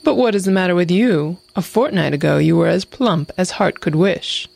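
A hungry storybook wolf, greedy and rude, cutting others off with blunt impatience, as a woman reader plays him. The tone stays low and heavy, close to the reader's own deep voice. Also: moderate pace, gravelly, a little mellow.

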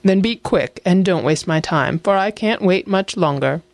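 Woman doing a greedy wolf, storybook, blunt, impatient, low voice. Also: lively intonation, normal speed, no echo. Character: greedy, rude hungry male wolf.

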